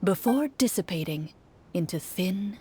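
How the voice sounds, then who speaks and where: A woman playing a composed game master, narrating a tabletop fantasy adventure in a video game with measured storytelling. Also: fairly low pitch, expressive, dark tone, slight background noise. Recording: music behind.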